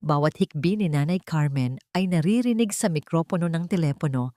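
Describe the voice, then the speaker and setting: Woman narrating a drama, low and close. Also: animated, fairly quick, mellow, no room sound. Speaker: audio story narrator. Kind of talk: dramatic scripted story narration.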